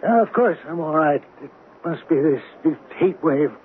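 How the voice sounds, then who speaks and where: An actor playing a nervous, distracted executive, hesitant and stammering, in a 1970s radio drama. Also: male voice, fairly high pitch, animated, normal speed, close to an everyday voice. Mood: flustered. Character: nervous, distracted male executive of a weapons firm. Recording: narrow-band 1970s radio broadcast, faint noise.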